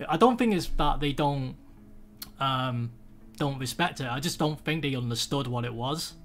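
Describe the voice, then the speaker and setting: A man's voice: mid-range voice, lively intonation, normal speed, a bit bright. Young British man, rambling chat. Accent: British English. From United Kingdom. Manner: rambling, many fillers and restarts. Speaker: podcast host. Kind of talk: casual chat. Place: home room, indoors.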